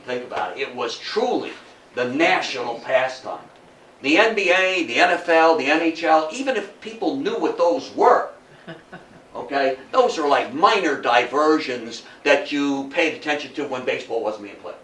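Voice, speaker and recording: American man, public lecturer, higher-pitched voice, emphatic delivery with repetition, recorded in an echoey room. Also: a bit bright. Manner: emphatic, repeats for effect, engages listeners with questions. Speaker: guest lecturer. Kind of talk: public history lecture to a live audience. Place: indoor room in front of an audience. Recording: roomy, distant mic, faint background noise.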